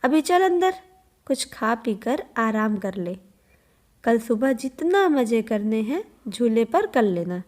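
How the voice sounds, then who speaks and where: Woman acting a fretful, high-voiced cartoon mother. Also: sing-song, slow, a little mellow. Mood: scolding. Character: scolding, anxious young mother and wife.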